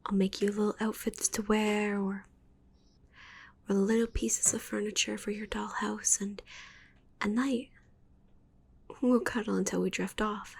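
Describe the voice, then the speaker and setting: A woman playing a needy, possessive witch in an intimate ASMR roleplay, cooing with soft menace in a breathy whisper. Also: medium pitch, little pitch movement, measured pace, pauses often. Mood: doting, clingy. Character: possessive, needy young witch doting on shrunken captive.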